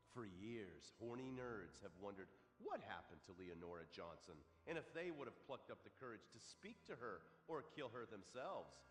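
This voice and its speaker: An actor playing a pompous, sardonic male true-crime TV host, narrating a scripted, sensationalist documentary in a game. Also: lowish voice. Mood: dramatic, sardonic. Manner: melodramatic, sardonic. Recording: echoey reverberant hall, music underneath.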